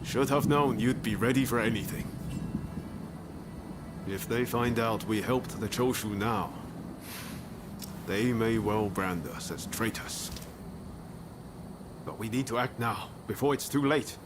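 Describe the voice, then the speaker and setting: An actor playing a determined, cautious rebel leader in dramatic video game dialogue. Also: male voice, medium pitch, a little slow, pauses often, background noise, natural voice. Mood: urgent, determined. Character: determined, cautious male rebel ally leading a rescue.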